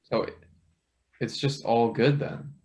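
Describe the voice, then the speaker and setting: A man's voice: medium pitch, steady tone, slow pace, darkish tone, lightly acted. A man playing an eager, hesitant young male intern with dry wit in a stage play table read. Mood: tentative, wry.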